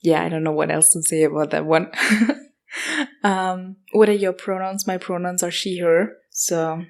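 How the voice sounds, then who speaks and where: A young woman from Austria with a German-accented English hosts her own podcast, reading out listener questions and answering them one after another. Her voice sits a little lower than most women's, and she talks in an easygoing way, dotted with ums, likes and quick restarts. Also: steady tone, creaky. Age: 20s. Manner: chatty, with frequent fillers and self-corrections. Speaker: podcast host. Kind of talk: casual solo podcast Q&A.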